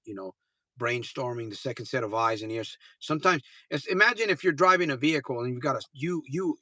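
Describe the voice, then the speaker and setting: A man in his fifties coaching viewers through a motivational livestream, his voice bright and forceful. He talks bluntly and informally, drops the odd curse, and circles back to repeat his key phrases. The sound is close and dry, with no room around it. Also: mid-range voice, no echo. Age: 50s. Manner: earnest, repeats phrases for emphasis; blunt and informal, swears casually. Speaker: gambling recovery coach.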